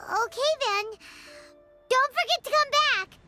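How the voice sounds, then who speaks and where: A woman voicing a tiny, excitable, childlike fairy companion girl in a video game, squeaky and easily flustered. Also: measured pace, exaggerated voice. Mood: eager, hesitant. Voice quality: squeaky, babyish. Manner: flustered, exclamatory, refers to self by name. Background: background music.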